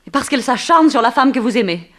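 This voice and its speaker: A woman's voice: on the high side, lively intonation, quick pace, a bit bright. An actress in an early 1960s French radio play, voicing a seductive, teasing, coolly persuasive woman thief.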